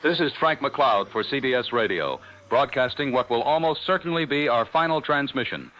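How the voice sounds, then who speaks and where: Man acting a doomed, forceful 1940s radio newsman. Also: medium pitch, animated, normal speed, dull audio. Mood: grave, resigned. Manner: grave, solemn, declamatory. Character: doomed 1940s radio newsman giving a final zombie-apocalypse broadcast. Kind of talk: 1940s radio drama acting, scripted news broadcast.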